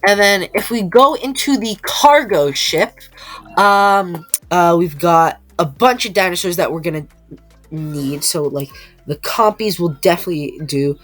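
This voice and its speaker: A woman with a stuffed-up, slightly nasal voice talks through her gameplay in a casual, halting way, full of ums and restarts. Her voice sits a little low and she takes her time. Soft music plays faintly behind her. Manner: hesitant, many ums and restarts. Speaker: gameplay video creator. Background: faint background music.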